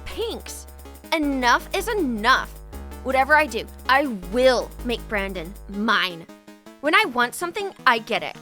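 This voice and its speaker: A woman playing a spoiled, obsessive teenage rich girl in an animated fan drama, haughty and dramatic. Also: a little high, unhurried, faint music behind, full character voice. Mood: scheming, determined.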